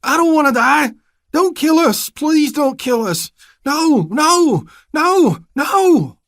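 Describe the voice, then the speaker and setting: A man voicing the first-person hero, talking loudly and pleading. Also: mid-range voice, expressive, quick pace, no echo, studio quality, pitched above the performer's own voice, close to an everyday voice. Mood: panicked, shrieking. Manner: loud, pleading. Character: first-person male hero, stalling a killer, then panicking.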